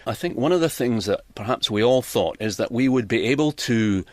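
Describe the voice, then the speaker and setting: A man's voice: medium pitch, lively intonation, brisk, darkish tone, no room sound. A man talking informally as a news correspondent being interviewed.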